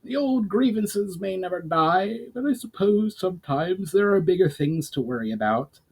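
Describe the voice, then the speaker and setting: Man doing an earnest, raised-pitch dwarf envoy. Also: lively intonation, moderate pace, husky, heavily acted. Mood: reflective.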